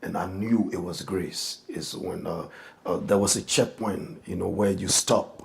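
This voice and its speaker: A man in his fifties, a pastor, telling his life story in a halting, earnest way with many restarts. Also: sits low, steady tone, creaky. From Liberia. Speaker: pastor, guest. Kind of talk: personal testimony in an interview.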